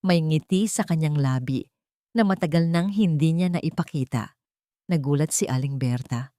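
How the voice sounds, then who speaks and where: A woman narrating a scripted family drama in an earnest, sentimental way, in short deliberate phrases. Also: low-pitched, mellow, dry recording, studio quality.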